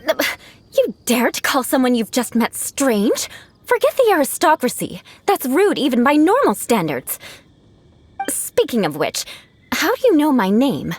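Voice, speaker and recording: A woman's voice: high voice, huge pitch swings, unhurried, heavily acted. A woman playing a haughty, prickly young noblewoman swordfighter, formal and theatrical, in anime game style. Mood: indignant. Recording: music under the voice.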